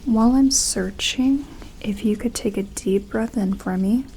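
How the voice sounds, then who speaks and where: A woman doing a soft-spoken ASMR roleplay as a gentle, curious sci-fi medic, soothing and close to the microphone, leaving long pauses. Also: on the high side, a little hiss or hum. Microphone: close-up sensitive ASMR microphone.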